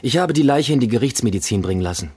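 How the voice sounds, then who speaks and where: An actor playing a cool, laconic police detective in a German radio drama. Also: male voice, medium pitch, animated, fast, a little mellow, breathy edge. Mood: factual. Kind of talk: German radio play acting.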